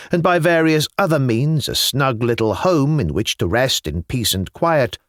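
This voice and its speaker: Man, audiobook narration, literary fiction, mid-pitched voice, lively varied intonation, measured phrasing, dry acoustic. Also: no room sound.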